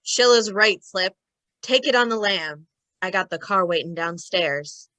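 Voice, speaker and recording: Woman playing a bright-voiced, streetwise gangster sidekick. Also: mid-range voice, animated, a little slow, no echo. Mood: worried. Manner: slangy, ungrammatical street talk. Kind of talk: old time radio gangster acting.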